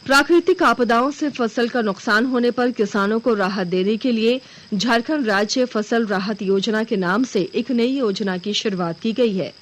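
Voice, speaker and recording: High-pitched woman reading radio news. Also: normal speed. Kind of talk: formal scripted regional news bulletin. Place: radio studio.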